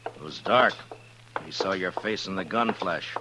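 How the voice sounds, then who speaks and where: An American man acting a stern, world-weary Old West lawman in a 1950s radio western, speaking in terse, measured, authoritative lines. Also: deep voice. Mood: stern, firm. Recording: noisy vintage radio recording with hum.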